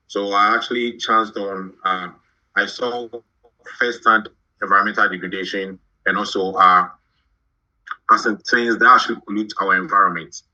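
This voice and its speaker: Man, from Ghana, podcast guest, halting speech, somewhat low pitch, tense bright tone. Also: lo-fi sound. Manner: halting, repeats words while thinking. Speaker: guest, shoe brand founder. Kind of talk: podcast interview.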